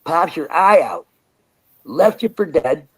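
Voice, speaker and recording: A man's voice: a little high, big pitch swings, slow pace, slightly rough. A man hosting a podcast interview, talking bluntly and casually with slang and easy laughs. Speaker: podcast host.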